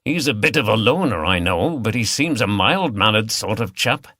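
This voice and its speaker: A man's voice: fairly low pitch, animated, moderate pace, pushed and bright, dry recording, studio quality. A man voicing an easygoing, plain-spoken innkeeper who banters with staff and regulars in an audiobook. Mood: bantering. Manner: bantering, matter-of-fact.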